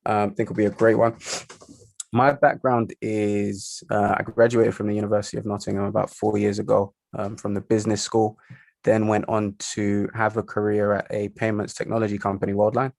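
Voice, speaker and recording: A young man is a guest on a podcast, and he speaks in a low, flat, gravelly voice. He pauses with frequent ums while recounting his work history. The sound is dry and close to the mic. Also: no echo. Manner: hesitant, lots of ums. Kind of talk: podcast interview.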